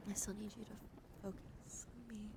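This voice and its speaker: A woman streamer speaking softly and soothingly in an ASMR personal-attention style, often slipping into a whisper. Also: medium pitch, level tone, normal speed. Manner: soft-spoken, often drops into whispering; gentle, soothing, coaxing. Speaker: live streamer host. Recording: noisy live stream with clear background noise. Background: background noise, dog outside.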